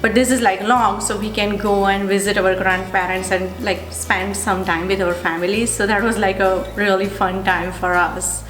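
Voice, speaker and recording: A woman in her thirties with an Indian accent answers interview questions in a relaxed, hesitant way, often pausing and restarting. Her voice sits at an ordinary middle pitch. The room is echoey, and music plays clearly behind her. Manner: hesitant, many fillers and restarts. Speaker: interviewee, physical therapist. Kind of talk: conversational interview.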